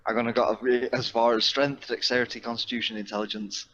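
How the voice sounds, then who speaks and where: A man's voice: mid-range voice, level tone, fast talker, a bit bright. A man chatting casually as a player in an online tabletop game, heard over a voice call. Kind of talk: casual chat. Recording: dull, lo-fi voice call.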